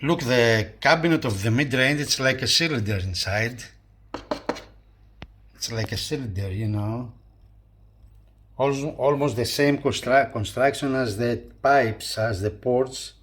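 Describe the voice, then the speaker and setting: A man presenting a hi-fi review in a casual hands-on style, talking haltingly and repeating phrases as he points things out. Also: medium pitch, a bit bright, slight background noise. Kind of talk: casual hands-on video commentary.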